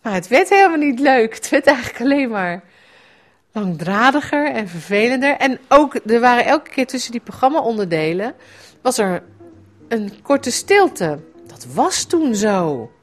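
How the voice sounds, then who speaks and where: A woman's voice: a little high, sing-song, measured pace, faint music behind. A middle-aged woman from the Netherlands, a writer telling a personal memory in a reflective radio essay with a wry edge.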